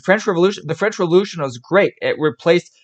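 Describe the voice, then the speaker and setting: A man's voice: high-pitched, animated, quick pace, muffled recording. A young man from Switzerland reacting to videos on a live stream, talking in rambling bursts with restarts and laughs. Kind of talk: casual reaction commentary.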